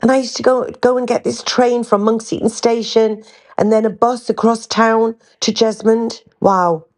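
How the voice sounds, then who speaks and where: A woman's voice: a little high, steady tone, moderate pace. An older woman from North East England with a faint Geordie accent, hosting a podcast and chatting candidly with frequent ums and restarts. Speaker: podcast host. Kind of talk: candid casual storytelling.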